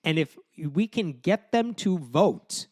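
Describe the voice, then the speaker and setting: A man's voice: fairly high pitch, big pitch swings, slow pace, dry recording, studio quality. A man co-hosting a podcast, walking through poll numbers and arguing his points in casual chat. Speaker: podcast host.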